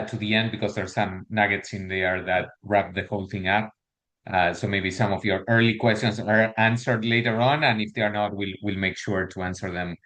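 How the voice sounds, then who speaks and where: A middle-aged man with an Argentine Spanish accent presents a workshop over a video call. His voice sits fairly low, and he talks in a halting way, restarting phrases and filling pauses with ums and uhs. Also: a bit bright. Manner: hesitant, many fillers and restarts. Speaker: workshop speaker.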